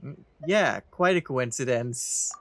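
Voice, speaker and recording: Casual mid-pitched let's play commentator. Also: expressive, slow, background noise. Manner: muttering reactions to the game aloud. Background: game music.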